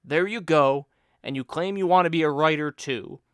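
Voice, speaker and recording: A lazy, wisecracking friend who mock-lectures and needles his companion, as a man reading the story puts him on. The voice sits higher and much louder than the narration, bantering and bossy. Smooth, resonant tone in a dry, close recording. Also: moderate pace, no room sound, close to an everyday voice. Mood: mock lecturing.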